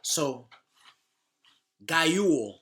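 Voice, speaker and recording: A man's voice: a little high, lively intonation, very slow, a bit bright, a little hiss or hum. A man in his thirties chatting casually as a podcast co-host, with an informal, slangy way of talking. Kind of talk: casual chat.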